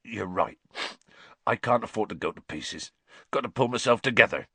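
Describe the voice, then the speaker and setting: A man voicing a huge, soft-hearted gamekeeper who sobs and talks in a rough rustic dialect. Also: on the low side, lively intonation, normal speed, no echo, full character voice. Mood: gloomy.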